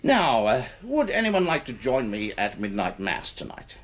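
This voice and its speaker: Radio drama: jovial British country-house gent, blustery and eccentric, very animated delivery, slightly rough voice. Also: male voice, mid-range voice, normal speed. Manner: blustery, chuckling, old-fashioned turns of phrase.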